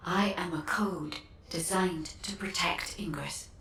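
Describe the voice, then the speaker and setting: A serene female AI guardian for a video game. Her voice sits a little low and sounds distant and echoey. She speaks with measured, courteous care. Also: fairly bright tone, a little hiss or hum. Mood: calm, earnest. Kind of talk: scripted game dialogue.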